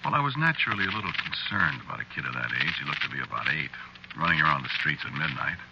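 A man's voice: a bass voice, sing-song, fast talker, vocal fry, heavily acted. A man playing a meek, worried working husband, narrating and acting in a 1940s radio drama in a hesitant, mild, conversational way. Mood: reflective, uneasy. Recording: very noisy, muffled 1940s radio recording.

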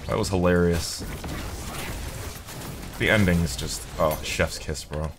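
A man's voice: low-pitched, a little slow, over music. A young man giving casual, jokey gaming commentary, slangy and sweary, talking to himself and his viewers. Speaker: video game streamer.

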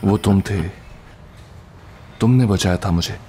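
Huge gentle monster friend, male fandub actor: very deep, earnest, measured, with noisy film ambience behind. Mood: earnest, soothing. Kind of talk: amateur Hindi film fandub acting. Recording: clear background noise with film ambience.

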